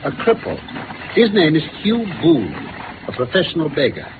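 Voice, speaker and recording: A man with a British accent playing a brisk, incisive gentleman detective in a 1950s radio drama, articulate and theatrical as he lays out facts. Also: a little high, sing-song. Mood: brisk, matter-of-fact. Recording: noisy, phone-like old radio recording.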